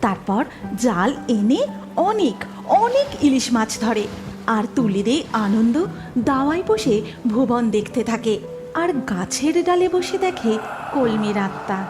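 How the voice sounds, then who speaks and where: A woman's voice: on the high side, very animated, unhurried. A woman narrating a scripted cartoon story. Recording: music behind the voice.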